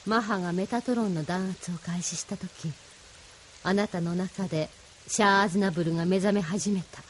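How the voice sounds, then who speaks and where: A woman's voice: on the low side, moderate pace, slight background noise, close to an everyday voice. A woman playing an idealistic, solemnly persuasive mentor in a Japanese audio drama, with a didactic, feminine way of speaking. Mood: reassuring.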